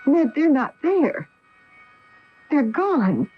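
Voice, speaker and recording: Through a dull, slightly hissy radio recording comes a protective astronaut wife. Her voice sits fairly high and swings expressively, with the earnest drama of a science fiction radio play. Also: very animated, drawn-out words, soft background music, exaggerated voice. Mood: astonished, urgent. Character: alarmed, questioning female astronaut wife, cautious and protective. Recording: noisy, muffled AM radio broadcast recording.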